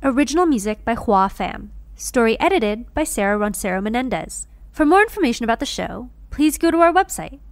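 Female credits announcer, highish voice, scripted read, dry sound. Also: very animated, brisk, no echo.

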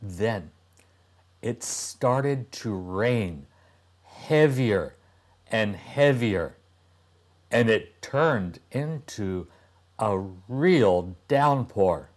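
A man in his sixties reading a storybook aloud to young children, deliberately and with dramatic pauses. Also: on the low side, animated. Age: older, about 60s. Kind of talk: expressive storybook narration.

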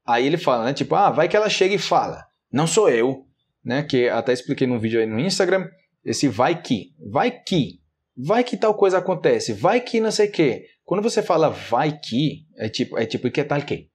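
Animated Brazilian man teaching Portuguese. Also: fairly high pitch, normal speed. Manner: animated, gestures a lot, acts out example phrases. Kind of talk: casual video lesson explaining vocabulary. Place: small indoor room, plain wall.

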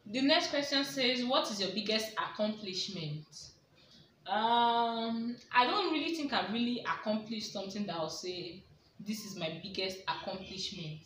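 A woman's voice: mid-range voice, unhurried, fairly bright tone. A young Nigerian woman chats through a list of personal questions in a casual vlog. She often repeats a question to herself as she thinks aloud. Her voice bounces around a small room and sounds set back from the mic, over faint room hiss. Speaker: vlogger. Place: small indoor room with a plain wall. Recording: echoey room, mic at a distance.

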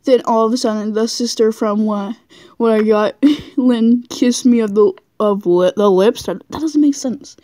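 A woman's voice: on the high side, moderate pace, warm tone, no echo. A woman doing a casual, goofy read-aloud of fan fiction, giggly and often stumbling over her words. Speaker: amateur reader of fan fiction.

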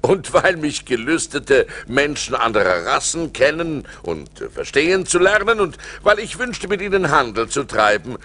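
An actor playing a rich seafaring merchant who recounts his adventures as an expressive storyteller in a radio play. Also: a little high, moderate pace, few pauses, heavily acted. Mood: eager. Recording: vinyl record transfer with music behind.

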